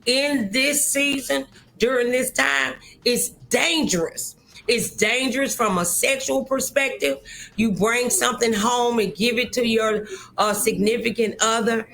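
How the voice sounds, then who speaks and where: A woman's voice: a little high, unhurried, vocal fry, fairly bright tone. A woman hosting a talk show, speaking conversationally and emphatically, repeating words for stress.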